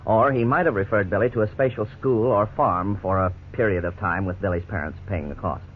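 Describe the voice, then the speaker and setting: An actor playing a legal expert who explains a court case in a measured way, in a 1950s radio drama. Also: male voice, fairly low pitch, lightly acted. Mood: explaining. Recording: tinny old radio broadcast, faint hiss.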